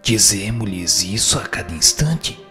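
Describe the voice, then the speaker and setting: A man's voice: on the low side, lively intonation, a little slow. A man with a Brazilian accent playing a wise, firm spirit who gives formal, didactic answers in a scripted radio drama. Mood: firm, cautioning. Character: instructive male spirit, wise, firm and solemn. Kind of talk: scripted dialogue, formal reading. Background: music.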